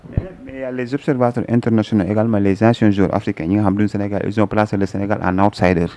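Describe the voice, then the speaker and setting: A man's voice: fairly low pitch, fairly even intonation, racing, mellow. A man asking a football analyst loose questions in an interview. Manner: loose questions mixing French and Wolof. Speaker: journalist.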